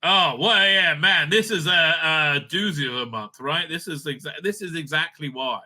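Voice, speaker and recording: A man's voice: fairly high pitch, moderate pace, pushed and bright. A man hosting a casual fan review, ranting bluntly with plenty of swearing and exasperation, often tagging his points with a questioning "right?". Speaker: host of a fan review show. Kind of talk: casual opinionated review rant.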